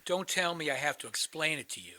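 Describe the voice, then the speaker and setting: A man's voice: a little high, big pitch swings, fast, sharp tone, full character voice. An actor playing a bitter, sardonic man in a radio play, blunt and sarcastic. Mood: irritated, bitter. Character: bitter, sardonic man, crisis counselor posing as suicidal caller.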